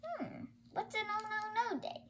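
A young girl reading a picture storybook aloud in an expressive, halting way. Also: extremely high pitch, a little hiss or hum. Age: young child. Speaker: reader.

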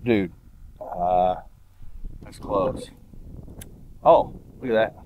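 An excitable man hosts a fishing vlog outdoors on a boat, his pitch swinging widely. Faint outdoor noise sits behind him. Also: phone-quality sound. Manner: excitable, exclaiming, quick laughs. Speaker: fishing channel host.

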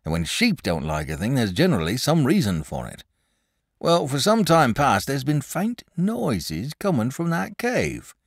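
A fretful middle-aged country shepherd, put on by a male storyteller, rambling in rustic speech with excitable swoops of pitch. The voice is recorded close and dry, with no room sound. He talks as a storybook figure. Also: measured pace, vocal fry, no echo, heavily acted. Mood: uneasy.

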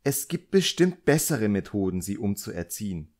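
A man voicing a magician's middle-aged wife in an audiobook, pained and gently reasoning. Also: lowish voice, sing-song, moderate pace, pitched above the performer's own voice. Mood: pained, placating.

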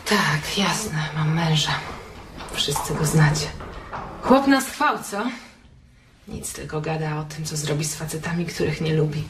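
An actress playing a bored, lonely wife in a radio drama, brash and mocking, with a provocative edge. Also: female voice, low-pitched, measured pace. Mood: bitter complaint. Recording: roomy, noisy radio play recording.

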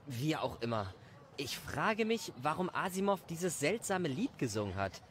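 Worried, doubting husband in an audio story, played by a man: highish, lively voice, some noise behind. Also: measured pace. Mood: skeptical, uneasy.

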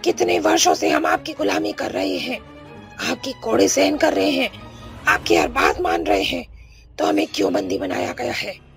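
A woman voicing an anguished captive witch in a cartoon, pleading in a drawn-out, melodramatic way. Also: mid-range voice, huge pitch swings, creaky, music in the background, exaggerated voice. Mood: weary defiance. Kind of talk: Hindi cartoon melodrama acting.

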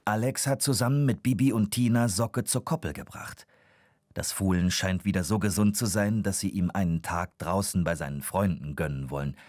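A man's voice: low voice, darkish tone, dry recording, studio quality. A man giving scripted narration for a children's audio drama.

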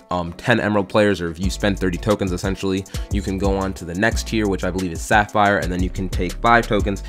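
A young man giving casual voice-over commentary on his game footage, rambling with lots of fillers and restarts. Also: deep voice. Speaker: gaming YouTuber.

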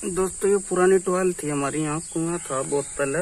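A young man, talking in a slightly high voice as he walks through farmland. He chats easily and keeps turning to his viewers as friends. His camera mic picks up faint rural sounds behind him outdoors. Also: brisk, noisy background. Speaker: village vlogger. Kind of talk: casual walking tour vlog.

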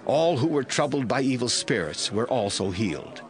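A man narrating a scripture audio drama from a script in a deliberate storytelling manner. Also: mid-range voice, big pitch swings. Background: faint music.

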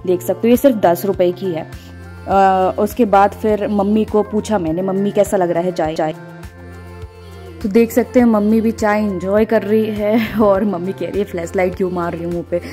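A young woman narrates her travel vlog in an informal, chatty style. Her voice sits at an ordinary female pitch and speaks straight to the viewers. Music plays behind her. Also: fairly even intonation, moderate pace, warm tone. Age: 20s. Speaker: travel vlogger. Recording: music under the voice. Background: background music.

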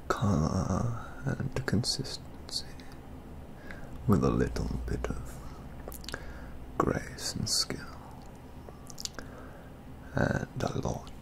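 A young man murmurs close to the microphone in a soft-spoken ASMR roleplay. His breathy, half-whispered voice is extremely deep and heavily creaky. He takes his time, very slowly savouring affected, genteel phrases. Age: 20s. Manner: hushed, slow, deliberate, genteel and affected, savouring words. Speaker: ASMR roleplay performer as a model painter.

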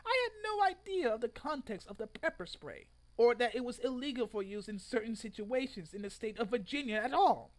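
A man's voice: very high-pitched, lively intonation, brisk, few pauses, low-quality recording. A man in his twenties giving an exaggerated, theatrical dramatic reading of a written post at home. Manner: theatrical, exaggerated delivery with sudden loud outbursts. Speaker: online video creator. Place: room at home.

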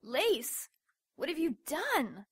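A woman's voice: sits high, sing-song, slow pace, fairly bright tone, dry recording, clean studio sound, full character voice. A woman playing a stern, dutiful demon in an audio drama, curt and commanding. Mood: stern, urgent. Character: stern, dutiful female demon keeping her sister in line.

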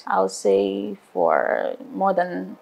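A woman's voice: mid-range voice, flat delivery, very slow, gravelly, slight background noise, low-quality recording. A middle-aged woman from Ghana, a guest in a casual studio interview, speaking on a lapel mic with grateful, easy laughter. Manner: warm, grateful, laughs often.

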